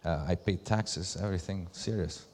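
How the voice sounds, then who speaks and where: Male presenter, Slovak accent, lower register, deliberate emphatic phrasing, live stage talk with long hall reverb. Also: darkish tone. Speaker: presenter, brand ambassador. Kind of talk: conference presentation. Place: large hall at a live event.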